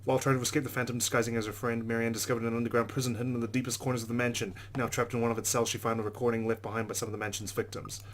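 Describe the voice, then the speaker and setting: A man's voice: medium pitch, flat delivery, racing, hardly any pauses, slight background noise. A man hosting a let's play, reading visual novel narration dramatically and reacting with exclamations.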